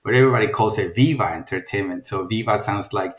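A man's voice: lowish voice, fast, echoey room. A middle-aged man from Mexico with a Mexican accent, a film producer talking casually in an interview and rambling through many restarts and fillers.

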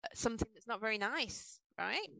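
English lady w a bit of aussie in her accent, gravelly, bright, asks lots of rhetorical questions, dry sound. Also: fairly high pitch, lively intonation, a little fast, no echo, low-quality recording. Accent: English accent with some Australian. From England. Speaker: podcast co-host. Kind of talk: casual discussion.

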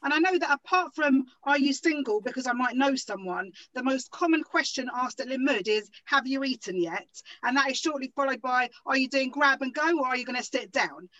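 A woman with a high, bright voice speaks as a chatty co-host in an informal interview. The recording is a little dull and lo-fi. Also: fairly quick, hardly any pauses.

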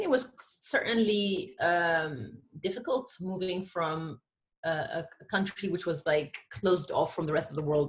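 A woman from Ethiopia chatting as an interview guest, hesitant and self-deprecating, often restarting her thoughts. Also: low voice. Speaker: podcast guest, literary editor and prize judge. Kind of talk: casual interview chat.

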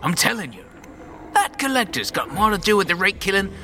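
High-voiced, swooping rat catcher. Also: male voice, sing-song, a little fast, sharp tone, slight background noise, full character voice. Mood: gruff, conspiratorial. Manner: slangy, cagey, brusque. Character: wary, streetwise male rat catcher in fantasy slum. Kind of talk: fantasy audio drama acting.

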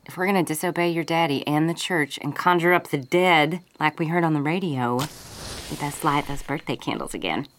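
A woman's voice: sits low, moderate pace, fairly bright tone. An actress playing a playful, conspiratorial mother with a Southern US accent, folksy and coaxing, in old-time radio drama style.

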